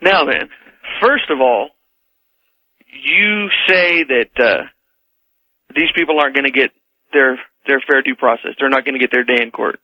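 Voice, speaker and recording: A man calling in to a radio show to debate, halting and repeating phrases for emphasis. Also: mid-range voice. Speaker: radio caller. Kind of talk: conversational debate. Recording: dull lo-fi radio call.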